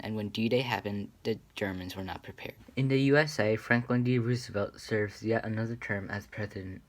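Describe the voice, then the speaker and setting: A man reading scripted history in the form of a radio news report. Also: on the low side. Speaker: newsreader.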